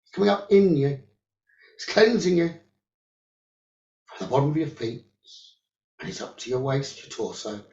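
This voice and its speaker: A man leading a guided meditation as a teacher, giving gentle instructions in short phrases with long pauses. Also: a little high, sing-song. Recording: roomy echo, dull lo-fi sound.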